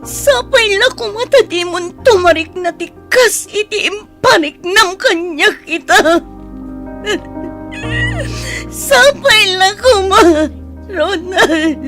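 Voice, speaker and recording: Casting: young jilted sweetheart, very high voice, slow pleading delivery, Ilocano Filipino accent, radio drama over faint music. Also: female voice, animated, heavily acted. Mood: tearful, pleading. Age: young adult. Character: heartbroken young woman, dumped by her boyfriend, tearful.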